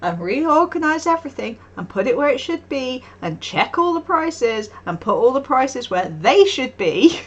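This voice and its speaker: A woman's voice: high-pitched, sing-song, moderate pace. A woman streaming live, chatting casually and telling stories with frequent laughs and acted-out quotes. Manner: chatty storytelling, often laughing, acting out quotes. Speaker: art streamer.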